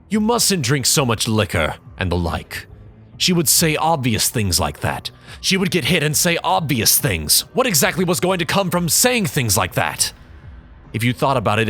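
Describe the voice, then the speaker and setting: A man giving a dramatic first-person reading, measured, bitter and reflective. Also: lowish voice, a bit bright, breathy edge. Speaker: reader.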